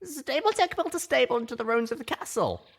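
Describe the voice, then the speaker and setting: A woman playing an earnest, careful young unicorn mare in an audiobook, with a husky, creaky voice. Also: high voice, animated, brisk, exaggerated voice. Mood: curious, musing.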